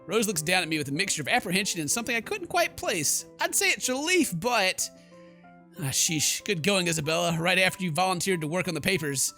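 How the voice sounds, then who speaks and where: Man, game commentary, reads story aloud, jokey, bro-ish, sharp bright tone, higher pitch, quiet background music. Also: expressive, brisk, gravelly. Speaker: let's player.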